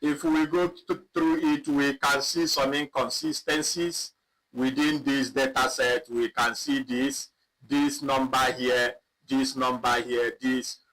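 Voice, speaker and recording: A man teaching a live online spreadsheet lesson, talking slowly and repeating himself as he clicks through data. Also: medium pitch, level tone, a bit bright, rough recording. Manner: slow, repetitive, thinking aloud while clicking. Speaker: instructor. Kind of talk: live online software tutorial.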